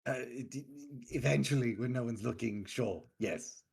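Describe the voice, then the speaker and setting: A man playing a tabletop game, chatting casually in a halting way that tends to trail off. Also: medium pitch, gravelly, a little mellow, husky. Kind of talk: casual chat.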